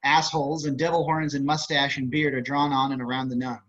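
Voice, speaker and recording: A slightly muffled recording of a man with a mid-pitched voice. He reads screen directions aloud as a scripted narrator. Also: flat delivery, fairly quick, a bit bright. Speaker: reader of stage directions. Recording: muffled online call audio.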